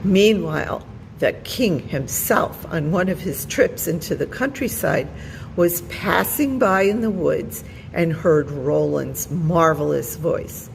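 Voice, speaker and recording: A woman's voice: sits low, lively intonation, slow, vocal fry, big-room sound, a little hiss or hum, low-quality recording. A woman narrating a children's storybook aloud as a storytime reader.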